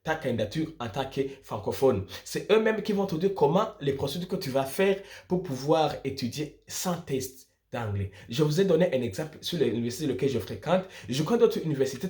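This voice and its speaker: A man's voice: medium pitch, lively intonation, fairly quick, few pauses, warm tone. A young man from Burundi, explaining things informally to viewers in an emphatic way, repeating and rephrasing his points. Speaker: student vlogger giving study-abroad advice.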